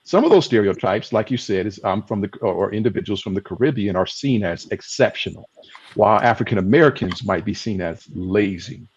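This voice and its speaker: Man, moderator, low husky voice, halting thoughtful questions, dull lo-fi video call audio. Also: animated. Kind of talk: panel discussion on a video call.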